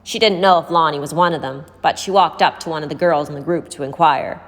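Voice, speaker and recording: Female audiobook-style narrator, fairly low voice, reading prose aloud with hall-like reverb. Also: fast talker. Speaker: story reader. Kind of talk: scripted story narration.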